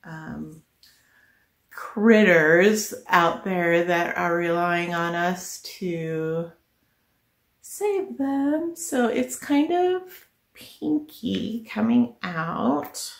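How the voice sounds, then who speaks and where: A woman's voice: lowish voice, animated, extremely slow, gravelly. A middle-aged woman chatting casually to the camera in her living room, thinking aloud as she reviews products. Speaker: vlogger presenting a product haul. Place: small living room at home, indoors. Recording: echoey home living room.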